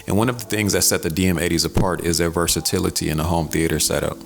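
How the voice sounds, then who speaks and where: A man's voice: sits low, fairly even intonation, quick pace, creaky, soft background music. An American man hosting a casual product review, speaking in a conversational, colloquial way. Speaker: audio gear reviewer and host.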